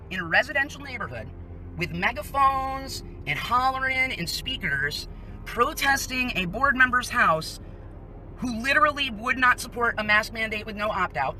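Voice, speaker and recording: Indignant high-voiced woman filming a protest. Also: big pitch swings, normal speed, pushed and bright, faint music behind, poor audio. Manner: indignant, lecturing, addresses the crowd. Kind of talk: live phone narration of a street scene. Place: residential street outdoors. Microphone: handheld phone.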